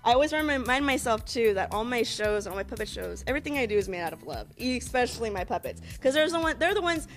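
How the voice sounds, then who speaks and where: A woman's voice: fairly high pitch, lively intonation, fast, few pauses, faint music behind. A young woman speaking with gushing enthusiasm as a puppeteer in a live interview. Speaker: puppeteer, ventriloquist and teacher. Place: small cluttered classroom. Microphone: reporter's handheld microphone.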